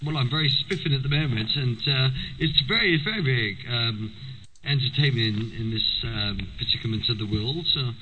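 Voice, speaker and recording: Welsh man, very forceful bright voice. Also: medium pitch, lively intonation, a little hiss or hum, low-quality recording. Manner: rambling, halting, with many fillers. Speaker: caller on a prank-call show. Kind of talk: casual chat, hamming up a posh British voice.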